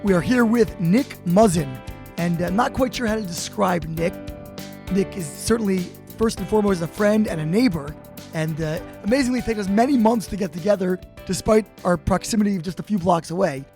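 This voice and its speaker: A man's voice: high-pitched, a little fast, darkish tone, faint music behind. A male podcast host interviewing a guest, friendly and curious, reacting with "wow" and "incredible". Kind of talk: interview hosting.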